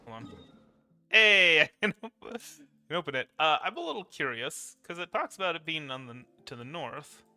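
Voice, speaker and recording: A man's voice: fairly high pitch, animated, normal speed, bright, forceful tone, soft background music. A man livestreaming a game with casual commentary, rambling and thinking aloud.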